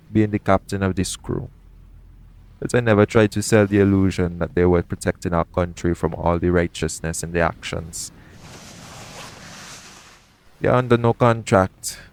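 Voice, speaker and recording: Man playing low-voiced pirate captain. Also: a little mellow, smooth. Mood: frank, reflective. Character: shady, guarded pirate captain, roguish yet gentle. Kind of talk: intimate audio roleplay acting addressed to the listener.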